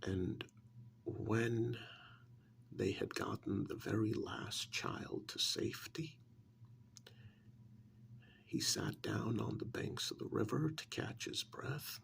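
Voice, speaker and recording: Slow, hushed man telling an ASMR story. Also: fairly low pitch, huge pitch swings, creaky, a little hiss or hum. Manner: hushed, often dropping into whisper; halting, deliberate phrasing with long pauses. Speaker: ASMR role-play performer. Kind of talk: soft-spoken ASMR storytelling.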